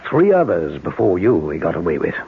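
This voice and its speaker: An actor playing a shrewd, fatherly police inspector with a husky voice in an old radio drama. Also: deep voice, huge pitch swings, fairly quick, exaggerated voice. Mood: fatherly, consoling. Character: shrewd, fatherly Scotland Yard inspector, male, protective. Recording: tinny old radio recording.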